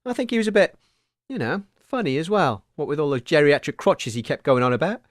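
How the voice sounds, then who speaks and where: A man's voice: fairly high pitch, big pitch swings, a little fast, no room sound, studio quality. A man co-hosting a comedy radio show in a mock-formal, bemused presenter manner.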